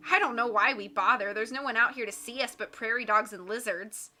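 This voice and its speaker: A nervous, giggly young woman, read by a woman, fretful and chatty, in a storybook reading. Her voice sits fairly high and bright. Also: brisk. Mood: wry.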